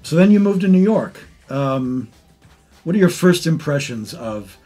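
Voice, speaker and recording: A man's voice: a little high, animated, unhurried, dark tone, faint music behind. A man conducting a casual interview in a wry, joking way.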